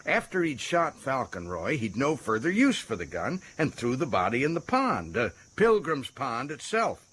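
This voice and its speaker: An actor playing a folksy, self-important American prison governor in a radio drama, chatty and stammering with excitement. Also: male voice, mid-range voice, normal speed, few pauses, breathy edge, full character voice. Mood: chagrined, eager.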